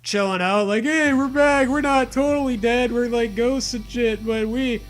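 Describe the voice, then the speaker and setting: A man's voice: very high-pitched, normal speed. A man co-hosting a riffing show, chatting casually with crude, playful jokes and silly acted-out quips. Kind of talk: casual joking banter. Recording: music behind the voice. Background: music.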